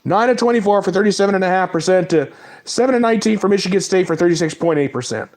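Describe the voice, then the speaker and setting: A man with a fairly high voice and a cold runs through game scores and player stats in a loose, casual monologue. He reels off the numbers in quick lists. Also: lively intonation, a little mellow. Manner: reads stats in quick lists, apologizes for mispronouncing names. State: has a cold. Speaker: sports talk host. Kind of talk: casual sports recap monologue.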